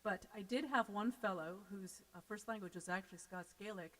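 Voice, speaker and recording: An American woman from Seattle, a folk singer chatting casually between songs on her live stream, quick and self-deprecating. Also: mid-range voice, a bit bright, long reverb tail. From Seattle, United States.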